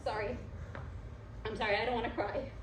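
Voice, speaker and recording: A woman's voice: sits high, expressive, fast talker. A woman acting an impulsive, melodramatic young wife in a stage comedy-drama, emphatic, indignant and theatrical. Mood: tearful, defiant. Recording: echoey room with background noise.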